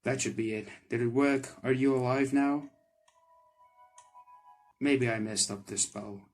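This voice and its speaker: A man's voice: medium pitch, fairly even intonation, a little slow, natural voice. Man acting flustered, apologetic young magician. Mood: hopeful, excited. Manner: hesitant, rambling, apologetic. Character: flustered, kind-hearted young male magician, apologetic tinkerer. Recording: background music under the voice.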